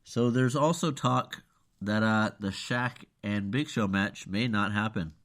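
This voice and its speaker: A podcast host with a cold, sounding nasal and congested, chatting bluntly and offhand. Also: male voice, fairly low pitch, unhurried, a bit bright. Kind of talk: casual chat.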